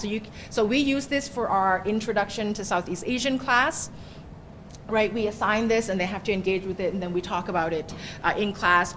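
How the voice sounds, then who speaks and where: A woman's voice: medium pitch, a little hiss or hum. Chatty woman professor presenting. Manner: chatty, often ends points with "right?". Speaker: university professor presenting at a workshop. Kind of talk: conversational presentation. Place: workshop room.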